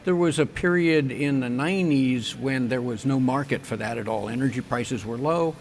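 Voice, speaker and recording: An older man, a company president, answering interview questions on a conference stage in a measured, engineer-like way with frequent ums and restarts. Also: mid-range voice, a little hiss or hum. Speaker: wind turbine company president.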